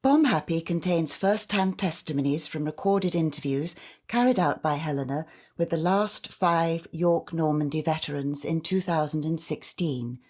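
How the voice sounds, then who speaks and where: A woman with a fairly low voice delivers a scripted introduction. She speaks as the announcer of an audio play, reading prepared narration. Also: normal speed, a little mellow.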